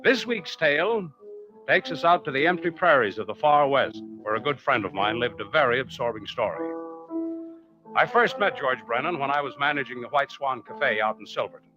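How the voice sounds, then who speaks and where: A man's voice: medium pitch, lively intonation, moderate pace, close to an everyday voice. A man playing a genial adventurer who hosts and narrates suspense tales on old-time radio. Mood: genial, intriguing. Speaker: host and narrator. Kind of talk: 1940s radio drama narration. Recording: dull old radio recording with music.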